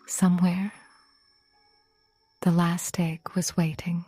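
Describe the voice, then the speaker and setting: Female bedtime narrator: very slow, mellow, soft and breathy, often close to whispering, gentle delivery. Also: fairly low pitch, flat delivery, no room sound, soft background music. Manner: gentle, hushed, unhurried with long pauses. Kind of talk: scripted bedtime story narration, slow and cozy.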